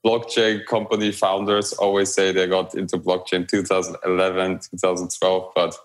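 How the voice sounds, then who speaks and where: A young man from Romania speaks in a very low voice. He talks as an interview guest, relaxed and halting, with fillers and small restarts. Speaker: guest, blockchain company growth lead. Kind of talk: remote interview conversation.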